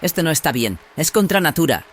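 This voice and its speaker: A man's voice: a little high, big pitch swings, a little fast, voice pushed up. A man voicing a blunt, suspicious warrior nun, second-in-command, speaking tersely in an audio drama. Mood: disapproving. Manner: terse, distrustful.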